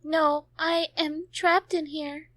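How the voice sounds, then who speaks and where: Desperate visual novel girl, played by a woman, high-pitched, slow drawn-out pleading, very dry sound. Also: level tone, fairly bright tone, dry recording. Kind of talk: visual novel character dubbing.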